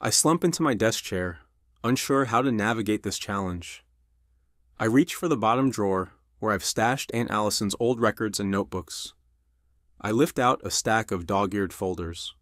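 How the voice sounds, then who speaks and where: A man's voice: on the low side, fairly bright tone, dry recording, clean studio sound, close to an everyday voice. A man voicing an anguished army veteran who runs a restaurant, narrating his own story in an intense, earnest audio drama style. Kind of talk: dramatic first-person scripted narration.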